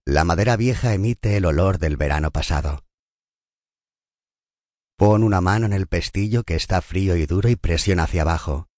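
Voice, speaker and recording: A man narrating a scripted guided meditation in a calm, soothing and unhurried manner. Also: low-pitched, dry recording, studio quality.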